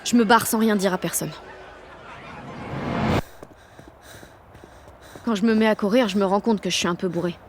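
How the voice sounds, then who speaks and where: A woman's voice: medium pitch, racing, a crowd in the background, noisy background, natural voice. An actress with a French accent playing a cocky sixteen-year-old girl who narrates her day in slangy, sweary audio fiction. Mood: doubting. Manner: slangy, sweary, punchy inner monologue. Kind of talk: first-person audio fiction monologue.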